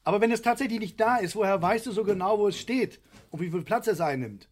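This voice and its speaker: An actor playing an excitable, scheming middle-aged father in a German satirical radio play, theatrical, rambling and insistent. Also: high voice, quick pace. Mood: stubborn insistence. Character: excitable, scheming, stubborn father arguing over a unicorn.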